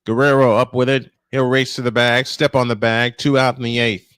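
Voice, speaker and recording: A man's voice: medium pitch, flat delivery, a little fast, a bit bright, smooth, dry recording. A man calling baseball play-by-play and bantering casually as a sportscaster.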